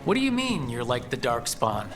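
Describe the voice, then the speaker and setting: An actor playing a polite, confident adventurer who leads a party in a fantasy game. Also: male voice, mid-range voice, huge pitch swings, normal speed, music in the background. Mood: questioning, resolute. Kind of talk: fantasy video game acting.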